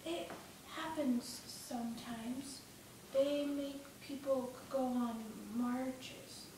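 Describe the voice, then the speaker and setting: A frail, fearful young boy played by a woman speaks softly and haltingly. He is shy and hesitant, in a dramatic storytelling piece. The recording is distant and strongly echoing, with faint noise. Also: fairly high pitch. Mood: fearful, hushed. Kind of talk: dramatic storytelling for speech competition. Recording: echoey room, distant mic, faint noise.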